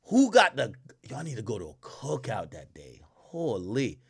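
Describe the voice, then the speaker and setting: A man's voice: mid-range voice, huge pitch swings, unhurried, husky. A man in his thirties, born in Jamaica, chatting loosely to his stream chat with slang and swearing, wearing a headset indoors. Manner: loose, rambling, profane, heavy slang. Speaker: livestream host. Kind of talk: casual livestream commentary to chat. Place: indoor home streaming room.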